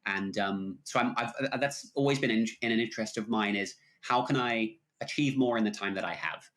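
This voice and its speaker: English-Kiwi accented man, quick talker. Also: on the low side, a bit bright. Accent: English with New Zealand mix. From England. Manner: friendly, explanatory, frequent fillers. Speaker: guest. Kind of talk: casual podcast interview.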